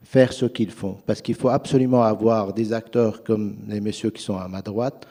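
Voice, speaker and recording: A man's voice: on the low side, fairly even intonation, moderate pace, warm tone. A man whose first language is not French, speaking earnestly and persuasively as a finance panelist at a conference.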